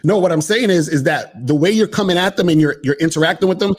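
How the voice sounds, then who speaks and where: A man's voice: on the high side, fast. Insistent man who keeps repeating his point. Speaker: dating coach. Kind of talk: argumentative casual chat.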